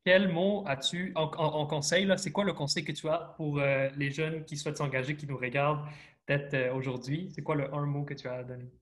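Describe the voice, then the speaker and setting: Guy hosting a panel over a video call, fairly high voice, lots of euh between questions. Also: fairly even intonation, fairly quick. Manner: friendly, fillers while framing questions. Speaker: moderator.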